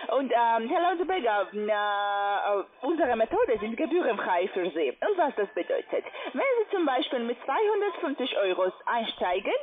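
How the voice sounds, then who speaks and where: A woman is selling over a tinny phone line in accented, non-native German. Her voice is fairly high and swings widely in pitch. Her phrasing is halting and formal, like a call centre agent working through a pitch. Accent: foreign, non-native German. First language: not German. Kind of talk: telephone sales pitch.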